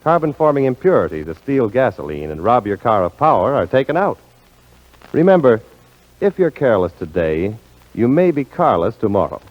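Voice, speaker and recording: A man's voice: medium pitch, sing-song, normal speed. A man working as a radio announcer in a polished, showmanlike 1940s style, reading scripted ads and introductions. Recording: dull, lo-fi 1940s radio broadcast.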